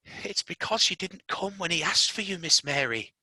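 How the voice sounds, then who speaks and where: A storyteller voicing a flustered, kindly young housemaid who talks in a fretful, hurried way. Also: medium pitch, expressive, bright, forceful tone, breathy edge, no room sound, voice pushed up, full character voice.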